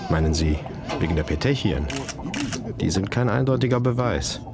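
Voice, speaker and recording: Low-voiced, dry forensic examiner. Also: male voice, lively intonation, normal speed. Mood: dry, probing. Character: male forensic examiner, dry, methodical, businesslike. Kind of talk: radio drama acting. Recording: music behind the voice.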